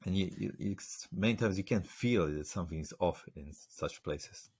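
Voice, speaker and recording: Very deep-voiced man, rambling. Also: moderate pace, a little mellow, slightly rough. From Slovakia. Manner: rambling, storytelling with many fillers. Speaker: podcast host. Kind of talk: casual interview chat.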